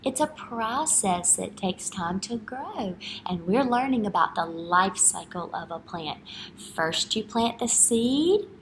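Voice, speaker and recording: Unhurried woman teacher reading to young children. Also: mid-range voice, slight background noise. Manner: instructional, expressive, checks understanding with questions and "right?". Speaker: early-grade teacher. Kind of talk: picture book read-aloud with questions to young children. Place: indoor school classroom.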